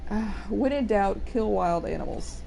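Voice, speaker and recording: A young woman talks through her game stream in an animated, joking way, her voice fairly deep for a woman. Her pitch jumps around a lot as she tells stories and swears offhandedly. There's a light hiss in the background. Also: normal speed, creaky, rough recording. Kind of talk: casual gameplay chat.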